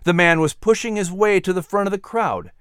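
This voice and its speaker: Higher-voiced male story narrator, dry. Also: fairly quick, a bit bright, clean tone, no echo. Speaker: audiobook narrator. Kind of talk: dramatic scripted story narration.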